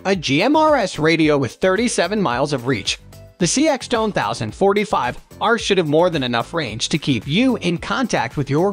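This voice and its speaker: High-pitched man narrating product reviews. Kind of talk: scripted voice-over narration.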